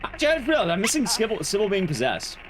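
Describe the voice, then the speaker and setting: A man's voice: high voice, huge pitch swings, racing, a crowd in the background. A man speedrunning a game and giving casual commentary, explaining things in a rambling, playful way. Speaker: speedrunner, streamer. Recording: game music behind the voice.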